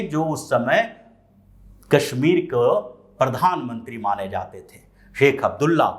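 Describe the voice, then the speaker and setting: A man delivering explanatory political commentary as a news commentator, sometimes posing rhetorical questions. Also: on the high side.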